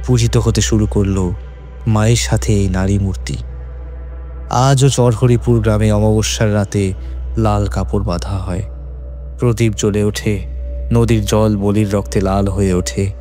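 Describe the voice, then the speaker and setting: Guy narrating a horror story, mellow dark voice, bit of music behind. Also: on the low side. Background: background music.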